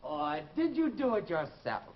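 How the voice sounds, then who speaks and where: Menacing phantom in an old radio horror play, slow taunts, muffled recording with music. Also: male voice, high-pitched, wildly swooping pitch, a little hiss or hum, full character voice. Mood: taunting, menacing. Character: sinister faceless masked tormentor, monster of a man's soul.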